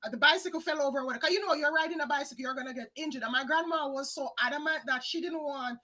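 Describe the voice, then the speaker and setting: A woman from Jamaica, chatting lively with viewers as a psychic co-host and calling them guys and hon. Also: high-pitched, fast. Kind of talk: live-stream reading, casual chat.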